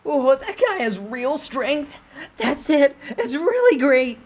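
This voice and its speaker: A woman voicing an excitable, anxious survivor, exclaiming emotionally in a dramatic story reading. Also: high voice, takes it slowly, heavily acted. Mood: amazed.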